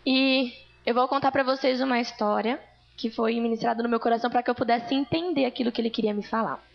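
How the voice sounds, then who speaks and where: A woman speaks to a church congregation in a fairly high, gravelly voice. She tells her testimony earnestly, like a story. The recording sounds dull and lo-fi. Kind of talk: testimony sermon.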